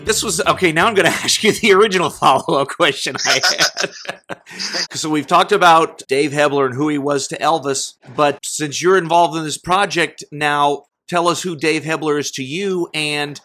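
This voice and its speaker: Guy hosting a casual interview, kinda high voice, bright and crisp tone. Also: moderate pace. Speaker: interview host.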